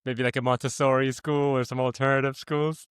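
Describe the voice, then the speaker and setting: Brief: male podcast host, smooth clear voice a bit high, dry close sound, short questions. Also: little pitch movement, brisk, no room sound. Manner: short acknowledgments and probing questions. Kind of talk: conversational interview.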